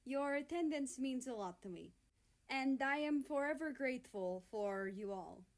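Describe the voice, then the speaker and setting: A woman's voice: high voice, lively intonation, normal speed, voice pushed up. A woman voicing a gracious, confident male ice-show star giving a formal address in a story.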